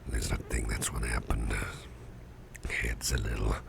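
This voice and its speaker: A man playing a sickly, drowsy, kindly boss in an ASMR roleplay, murmuring breathily and haltingly in a gravelly voice, often repeating himself. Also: rumbling low, flat delivery, very noisy, full character voice. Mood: groggy, musing. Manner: slow, rambling, hesitant, repeating himself. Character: sickly, drowsy, sweet-tempered boss confiding in his employee.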